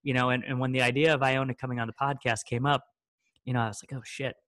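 A man's voice: mid-range voice, flat delivery, fast talker, fairly bright tone, no room sound, studio quality. A man in his thirties hosting a podcast, talking in a loose, joking way with many fillers. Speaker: podcast host. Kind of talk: casual podcast chat.

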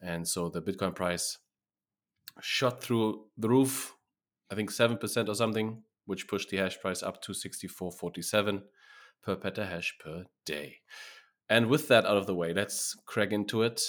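A man's voice: fairly low pitch, normal speed. A man hosting a podcast, opening the show and introducing his guest with upbeat energy. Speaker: podcast host.

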